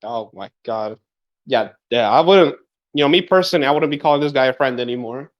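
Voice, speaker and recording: A man's voice: medium pitch, a little fast. A young man co-hosting a podcast in casual chat, teasing and laughing often, with a slightly sore throat. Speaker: podcast co-host.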